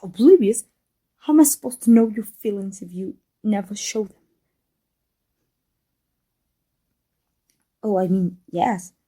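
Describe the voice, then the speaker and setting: A woman acts out a guarded villainess in love with her rival, in an intimate ASMR audio drama. Her voice is very dark and soft, with a breathy husk and some creak. She speaks haltingly, with tender emotion. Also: medium pitch. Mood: flustered. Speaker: voice actor. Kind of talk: intimate ASMR roleplay acting.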